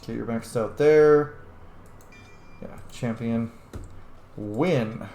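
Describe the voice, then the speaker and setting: A man's voice: mid-range voice, drawn-out words, dark tone. A man giving casual, informal let's-play commentary, thinking aloud as he plays a video game. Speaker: video game player, streamer. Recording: roomy echo, faint background noise.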